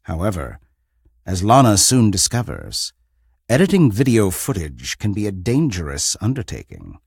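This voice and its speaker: A man hosting an audio drama anthology, reading a scripted, eerie introduction in a measured, dramatic way. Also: on the low side, wildly swooping pitch, vocal fry, slightly rough. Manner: measured, dramatic, deliberate delivery.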